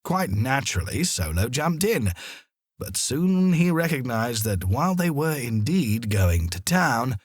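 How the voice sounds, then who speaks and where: A man narrating a children's story in a deliberate, expressive storytelling manner, as an audiobook reader. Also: fairly low pitch, breathy edge, dry recording, studio quality.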